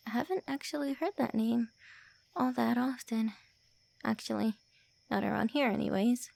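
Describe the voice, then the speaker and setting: A woman playing a flustered, gravelly tsundere vampire. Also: fairly high pitch, darkish tone, dry recording, heavily acted. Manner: whispery, stammering, brusque teasing. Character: tsundere female vampire lord, centuries-old, looks early twenties, flustered, insulting. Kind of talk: ASMR roleplay.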